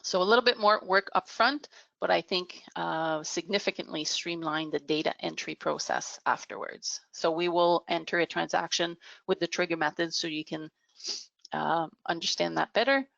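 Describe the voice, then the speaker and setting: A woman with a fairly low voice and plenty of vocal fry walks through a business software demo. She explains in measured phrases, pausing hesitantly as she goes. The recording is somewhat dull and lo-fi. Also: no room sound. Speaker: software product demonstrator. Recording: dull, muffled lo-fi audio.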